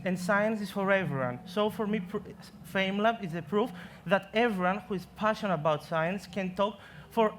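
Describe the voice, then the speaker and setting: A man in his twenties from Greece, speaking English with a Greek accent, giving an earnest science talk in a competition, with halting phrasing and frequent restarts. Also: high voice, a bit bright, slight background noise. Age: 20s. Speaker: science communication contestant.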